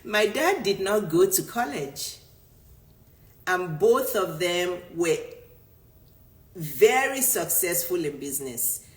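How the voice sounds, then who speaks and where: A woman's voice: lowish voice, big pitch swings, takes it slowly, pauses often. A middle-aged woman business coach from Nigeria, a native Yoruba speaker, giving an emphatic motivational talk in which she repeats her points for stress. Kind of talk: motivational talk answering a viewer. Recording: roomy echo.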